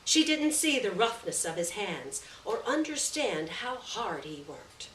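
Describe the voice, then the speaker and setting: A woman reading a literary short story aloud in a measured, deliberate way, with pauses between phrases. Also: mid-range voice, very animated, fairly bright tone. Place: roomy venue at a live reading. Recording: echoey room, distant mic, background noise.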